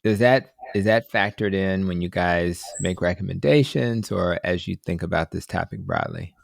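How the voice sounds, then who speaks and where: A man's voice: sits low, moderate pace, creaky, no echo, studio quality. A man hosting a podcast, asking thoughtful, hedging questions full of fillers. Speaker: podcast host. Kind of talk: interview questions.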